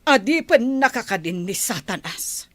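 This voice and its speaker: A woman's voice: a little high, big pitch swings, a little slow, fairly bright tone, dry recording, heavily acted. An actress playing a stern, morally upright middle-aged mother in an Ilocano radio drama, with an Ilocano accent. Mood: reproachful, pleading.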